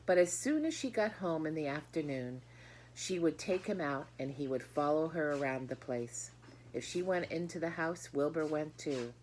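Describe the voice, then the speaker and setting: A woman's voice: low-pitched, a little mellow, slight background noise, low-quality recording. A woman reading a children's book aloud, speaking directly to her listeners with explanatory asides. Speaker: storybook reader.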